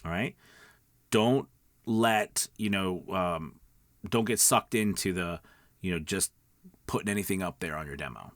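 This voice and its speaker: Male coach, lowish voice, emphatic and conversational, instructing viewers in a dry, close-sounding space. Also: a little slow, long pauses, no room sound. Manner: emphatic, conversational, repeats check-in words like okay and all right. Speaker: voice-over coach, video host. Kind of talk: informal instructional talk to viewers.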